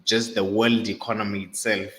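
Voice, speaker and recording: A man's voice: lowish voice, flat delivery, normal speed, a bit bright. A man making earnest points in a casual live-stream discussion, repeating them for emphasis. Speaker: discussion panelist. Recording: roomy echo with faint noise.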